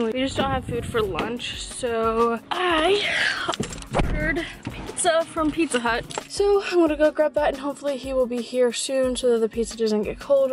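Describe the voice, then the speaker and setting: A tired young woman chatting casually to a handheld camera about her day, from her car and her home. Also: on the high side. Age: young adult. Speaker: vlogger. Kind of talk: casual vlog chat. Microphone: phone or camera held close.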